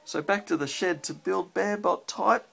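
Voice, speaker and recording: An Australian man with a husky, creaky voice, reading a picture book aloud and chatting simply with a child as a parent would. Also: sits high, moderate pace, fairly bright tone.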